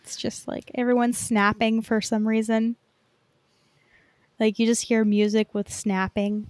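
High-voiced woman chatting casually. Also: flat delivery, a little slow, no room sound. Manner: informal, enthusiastic, swears freely. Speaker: podcast co-host. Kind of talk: casual pop-culture chat.

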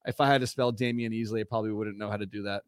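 Guy cohosting a fan show, slightly hoarse, rambly, dry close mic. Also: on the low side, steady tone, racing, no echo. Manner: chatty, rambling, many fillers. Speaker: co-host of a sports fan show. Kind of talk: casual chat.

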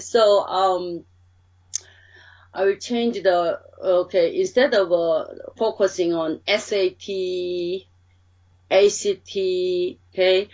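A woman's voice: medium pitch, recorded from a distance, muffled recording. A woman from Korea with a Korean accent, answering interview questions in a hesitant way with many fillers and restarts. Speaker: interviewee, researcher who hires graduate students.

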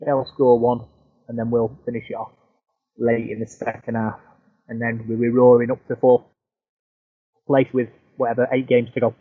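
A man's voice: medium pitch, level tone, normal speed, long pauses, husky. A man co-hosting a sports podcast, joking and teasing his co-host. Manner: jokey, teasing. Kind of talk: casual sports chat. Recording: tinny phone-like line.

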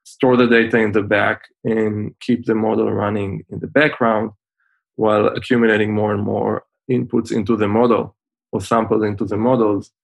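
A man in his forties with an Israeli accent, a podcast guest explaining things in a measured way with frequent pauses over a video call. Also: fairly low pitch, little pitch movement, gravelly, poor audio. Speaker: guest, fintech founder.

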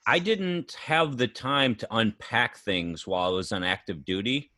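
A man's voice: lowish voice, a little slow, pushed and bright, no room sound, studio quality. A man hosting a podcast, asking questions in a laid-back, casual way and laughing often. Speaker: podcast host. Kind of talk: casual interview chat.

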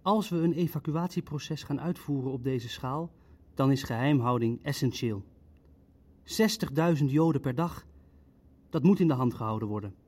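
A man's voice: medium pitch, darkish tone, natural voice. A man playing a cautious, precise Nazi bureaucrat in a Dutch radio play, choosing his words with care. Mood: cautious, deliberate. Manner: careful, deliberate.

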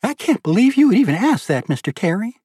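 An eager teenage girl, voiced by a male narrator in an audiobook, pitched well up. She sounds girlish and sassy, gushing and playful, in a dry, close recording. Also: sing-song, quick pace, darkish tone, slightly rough, no echo, heavily acted. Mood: playfully scolding.

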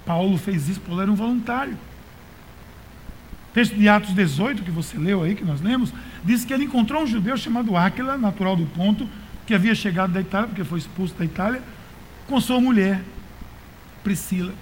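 A man's voice: sits high, fairly quick, a little hiss or hum, poor audio. A man with a Brazilian accent preaching as a pastor in a colloquial, exhorting way, repeating phrases for emphasis. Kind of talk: conversational sermon to a congregation.

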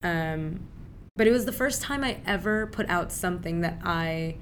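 Chatty young woman, low-pitched, faint rumble. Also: moderate pace. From Pakistan. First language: Urdu. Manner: chatty, animated, lots of fillers. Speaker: guest filmmaker. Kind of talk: casual interview talk.

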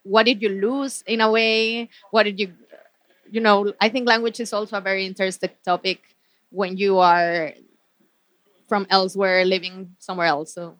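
Woman, non-native English speaker, panel moderator, reflective, informal, medium pitch, dry recording. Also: fairly even intonation, normal speed, fairly bright tone, dry recording. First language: not English, a non-native English speaker.

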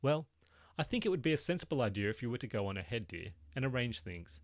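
Vague, plaintive middle-aged mother, put on by a male reader; mild and soft. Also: mid-range voice, very animated, fast talker, no room sound, studio quality. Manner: vague, plaintive, mildly indignant.

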